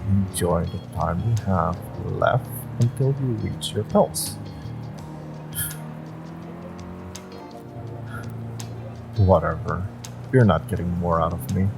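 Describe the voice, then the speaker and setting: Guy doing a tired evasive driver with a secret, audio roleplay, deep gravelly voice, offhand and wry. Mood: dismissive. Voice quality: low, gravelly. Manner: hesitant, offhand, wry, world-weary. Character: jaded, evasive male Omega driver, secretly a former judge. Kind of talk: intimate conversational audio roleplay acting. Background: music and background ambience.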